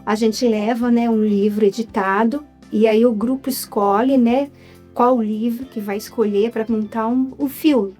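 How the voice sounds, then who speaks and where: A woman's voice: on the high side, steady tone, measured pace, darkish tone, soft background music. A Brazilian-accented woman, a guest in a casual interview, telling her story in an upbeat way. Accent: Brazilian Portuguese. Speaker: guest, writer and film producer.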